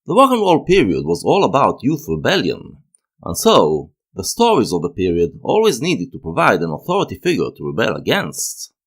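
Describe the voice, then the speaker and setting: Low-voiced man narrating with very lively pitch. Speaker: host of a video essay series. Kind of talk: scripted analytical narration.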